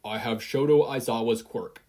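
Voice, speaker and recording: A podfic reader voicing an eager, excitable teenage boy who is training to be a hero. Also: mid-range voice, normal speed, lightly acted. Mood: commanding, urgent.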